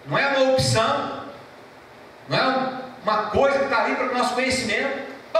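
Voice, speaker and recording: A Brazilian pastor preaches, his voice high and swinging widely as he stresses and repeats key phrases. He sounds distant from the mic, with heavy church echo and faint room hum behind him. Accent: Brazilian Portuguese. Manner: storytelling, animated rises and drops. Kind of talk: sermon. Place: church hall. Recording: echoey church from far off.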